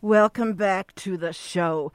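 A woman's voice: medium pitch, slow pace, faint music behind. A woman hosting a radio talk show, reading her guest's introduction and then interviewing her.

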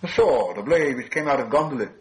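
A man's voice: medium pitch, expressive, fairly quick, slight background noise, voice pushed up, lightly acted, rough recording. A man voicing a lost, anxious but politely defiant little hobbit in an emphatic storybook reading.

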